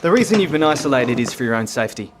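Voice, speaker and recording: A man's voice: mid-range voice, sing-song, rapid-fire, noisy background, natural voice. An Australian-accented man playing a courteous, grave navy commander in an audio drama, polite and plain-spoken.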